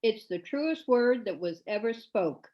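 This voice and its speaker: A dull, muffled recording carries a meek Victorian laundress played by a woman. She speaks slowly and deferentially, readily agreeing, in a storybook reading. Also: expressive. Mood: solemn. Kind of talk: dramatic reading of a classic story. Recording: dull, muffled, low-fidelity sound.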